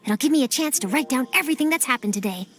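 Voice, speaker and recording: An actress playing an upbeat, inquisitive young journalist in a video game cutscene. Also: female voice, high-pitched, animated, rapid-fire, soft background music. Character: upbeat curious young woman reporter.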